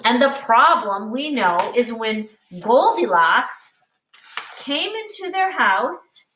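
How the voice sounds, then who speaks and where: A woman teacher reading a picture book aloud to young children with deliberate, explanatory expression. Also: on the high side, big pitch swings, pushed and bright, slight background noise. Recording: echoey room, distant mic.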